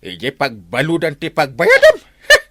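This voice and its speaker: Brief: a loud, boastful Ilocano barangay captain, bright voice, pitch leaps all over, dry close mic. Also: male voice, wildly swooping pitch, no room sound, exaggerated voice. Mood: bossy. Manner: blustering, bossy, quick to laugh. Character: boastful middle-aged male barangay captain. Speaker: village captain. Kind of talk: radio comedy drama acting.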